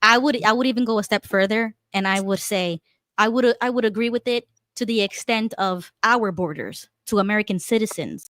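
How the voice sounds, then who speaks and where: A woman's voice: mid-range voice, brisk, no room sound, studio quality. A woman in a casual political discussion, talking animatedly with restarts and laughs. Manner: animated, laughing, repeats herself. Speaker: panelist.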